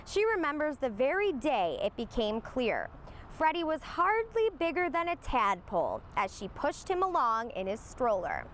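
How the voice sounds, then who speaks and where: A woman reading a children's storybook aloud in an expressive storytelling manner. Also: high-pitched, normal speed, a little hiss or hum. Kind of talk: scripted storybook narration for young children.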